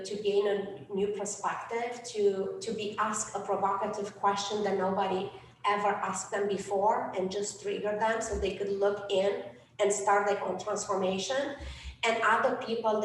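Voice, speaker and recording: A woman's voice: mid-range voice, a bit bright, a little hiss or hum. A middle-aged woman from Romania with a Romanian accent, giving an earnest live talk to an online event audience, with frequent fillers and repeated words. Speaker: event speaker and coach. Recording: roomy, distant from the mic.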